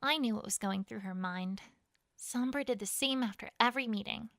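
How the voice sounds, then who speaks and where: A woman's voice: fairly high pitch, normal speed, fairly bright tone, no room sound, clean studio sound. A woman reading a first-person fantasy story as a dramatic narration. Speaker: fan fiction reader.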